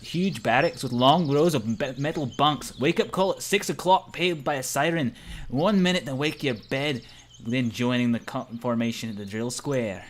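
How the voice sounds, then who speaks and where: A man's voice: medium pitch, moderate pace, a little hiss or hum. A man reading a visual novel aloud among friends, narrating informally and tripping over the script now and then. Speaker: narrator of a let's play. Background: faint game music.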